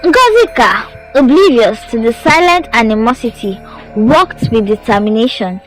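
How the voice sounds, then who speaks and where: A woman's voice: fairly high pitch, animated, normal speed, rough recording. A woman narrating a scripted folktale as a storyteller, with a dramatic, suspenseful delivery. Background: music under the voice.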